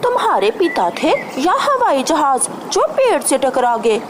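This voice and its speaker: Woman playing a complaining female cartoon crow, high swooping voice, sharp-tongued, nagging, noisy background. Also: sing-song, a little slow. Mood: mocking. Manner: nagging, mocking. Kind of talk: cartoon story voice acting.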